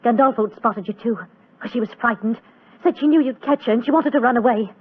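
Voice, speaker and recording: An actress in a 1940s radio drama playing a frightened, defiant young magician's assistant, curt and emotional. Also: female voice, on the high side, fairly quick, slightly rough, narrow, tinny audio. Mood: frightened, pleading. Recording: thin, band-limited old radio recording.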